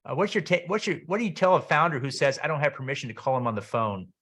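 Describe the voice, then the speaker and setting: A man's voice: fairly high pitch, very animated, fast talker, fairly bright tone, breathy edge. A middle-aged or older man hosting an interview in a conversational way. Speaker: interview host.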